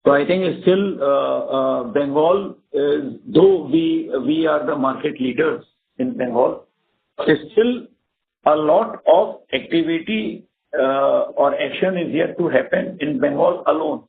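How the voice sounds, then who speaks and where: A man with an Indian accent answering as a company executive on an earnings call, often rephrasing in a halting way. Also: on the high side, creaky. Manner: halting, repeats and rephrases words. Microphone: conference phone call. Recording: narrow tinny phone line.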